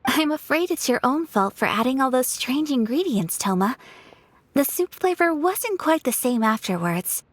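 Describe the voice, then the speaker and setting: An actress voicing a refined young noblewoman in a video game, composed and courteous, with a soft, formal way of speaking. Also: high voice, expressive, normal speed, no room sound. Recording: game audio with soft music behind.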